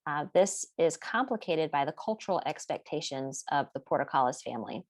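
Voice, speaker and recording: A woman presenting a class project in a steady, explanatory way, partly read from notes. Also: low voice, fairly quick, no room sound. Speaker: student presenter.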